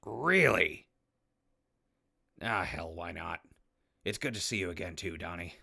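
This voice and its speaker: Man as a low, gravelly, wry wasteland ghoul. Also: expressive, unhurried, sharp tone, exaggerated voice. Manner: dry, casual, sardonic. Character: wry, easygoing, irreverent ghoul wanderer narrating his journey. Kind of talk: post-apocalyptic audio drama acting.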